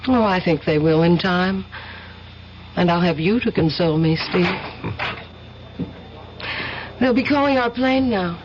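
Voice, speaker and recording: An actress playing a composed young woman caught up in smuggling, speaking calmly and evenly. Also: on the low side, normal speed, lightly acted. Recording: lo-fi radio broadcast with clear hiss.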